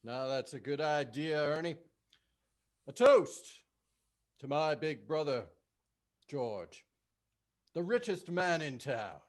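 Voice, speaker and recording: A man's voice: on the high side, lively intonation, slow, pauses often. A man playing a proud, affectionate younger brother in a 1940s radio play. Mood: proud toast. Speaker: amateur actor.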